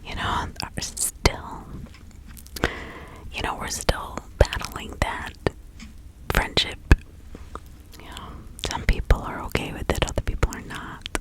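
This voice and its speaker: A woman playing a reclusive, gossipy village witch of the 1600s in a confiding, rambling ASMR roleplay, all in a breathy whisper. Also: takes it slowly, long pauses, lightly acted, rough recording. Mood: gossipy, cautioning. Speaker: ASMR performer.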